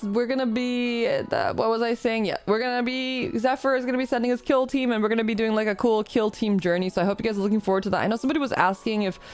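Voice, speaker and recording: Woman streamer, casual chat, a bit stuffy from a cold, self-deprecating, mid-range voice. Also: mid-range voice. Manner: rambling, self-deprecating, reads chat aloud. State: slightly congested.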